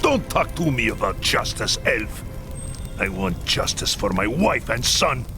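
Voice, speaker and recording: An actor playing a bitter, vengeful middle-aged clan leader in a video game, heated and dramatic, with a gravelly voice. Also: male voice, medium pitch, very animated, unhurried. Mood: bitter anger. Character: bitter, vengeful human clan leader, grieving husband and father. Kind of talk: video game cutscene acting. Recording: loud music behind.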